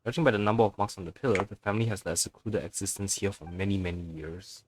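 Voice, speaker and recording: A man's voice: on the low side, quick pace, slight background noise. A man streaming a video game, casually reading on-screen text aloud and reacting with the odd curse. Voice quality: casual, swears. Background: faint game music.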